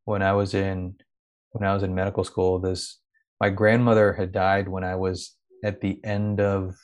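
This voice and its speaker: A man who grew up in India talks in a reflective, searching way. His voice sits a little low, and he often restarts phrases as he gathers his thoughts. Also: little pitch movement, normal speed, darkish tone. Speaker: guest. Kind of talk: reflective conversational interview.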